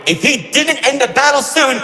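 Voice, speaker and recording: High-pitched, theatrical, gleeful trickster villain. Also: male voice, expressive, normal speed, gravelly, pushed and bright, full character voice. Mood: awed, dramatic. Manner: mocking, flippant, melodramatic, swinging between grandeur and glee. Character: gleefully cruel, theatrical immortal trickster villain recounting war. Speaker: narrator villain. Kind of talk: theatrical storytelling narration. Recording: echoey hall reverb over music. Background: music.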